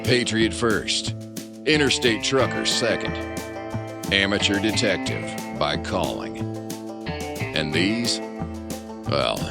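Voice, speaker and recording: A bombastic announcer for a melodramatic adventure serial. He narrates slowly with big swoops and theatrical pauses over loud music. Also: fairly low pitch, lively intonation, creaky, exaggerated voice. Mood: grandiose.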